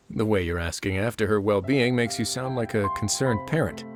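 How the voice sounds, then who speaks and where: A laid-back prison warden, played by a man with a lowish voice and a dry, teasing ease. Guitar music plays clearly behind him. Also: moderate pace, lightly acted. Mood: easy. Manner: easygoing and dryly teasing. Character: relaxed, dryly amused male prison warden. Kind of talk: game cutscene voice acting.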